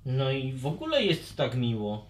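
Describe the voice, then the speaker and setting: A man playing a gentle, easygoing dragon with a mild manner in a children's audio fairy tale. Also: mid-range voice, wildly swooping pitch, normal speed, echoey room. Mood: content.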